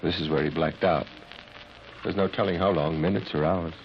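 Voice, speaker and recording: An actor playing a calm, commanding scientist in a 1950s radio drama, with a measured, authoritative and clinical manner. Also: male voice, low voice, music in the background. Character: calm, commanding male scientist running a mind-probe experiment. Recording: muffled, noisy old radio recording.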